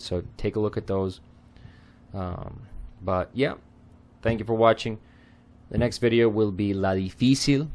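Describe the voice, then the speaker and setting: A man with a fairly low voice talks slowly through song lyrics, translating them word by word. Spanish is not his first language, and he keeps a relaxed, conversational tone full of small fillers. His pace stays unhurried throughout. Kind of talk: casual lyric translation lesson.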